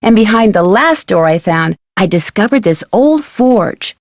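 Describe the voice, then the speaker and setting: An actress playing an inquisitive, self-assured young female detective in an adventure game, speaking in a clear conversational way. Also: mid-range voice, expressive, a little slow, no room sound, clean studio sound. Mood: intrigued, chatty. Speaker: game protagonist and tutorial guide. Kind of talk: scripted adventure game dialogue and narration.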